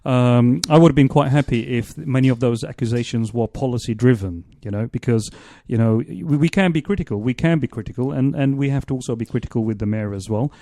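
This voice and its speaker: Mellow-voiced male radio host, rambling. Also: mid-range voice, no echo. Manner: halting, rambling questions with restarts. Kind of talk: radio interview. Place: radio studio.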